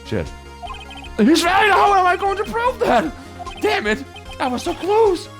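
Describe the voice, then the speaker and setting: A man's voice: very high-pitched, unhurried, soft background music, voice pushed up, full character voice. Man playing a frantic, swooping young defence lawyer. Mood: panicked. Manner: excitable, melodramatic, faltering. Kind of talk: comic amateur video game dramatic reading.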